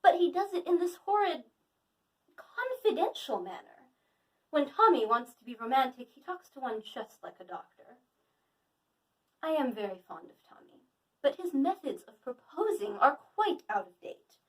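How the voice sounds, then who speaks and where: Woman playing flippant young society lady, high voice, arch mock indignation, Victorian stage comedy, distant microphone. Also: very animated, moderate pace. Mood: exasperated. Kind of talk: theatrical Victorian comedy monologue.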